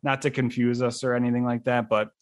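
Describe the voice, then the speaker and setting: A man's voice: medium pitch, level tone, quick pace, no room sound, studio quality. A man from western New York hosting a casual interview, asking questions with lots of fillers and restarts. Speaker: podcast host.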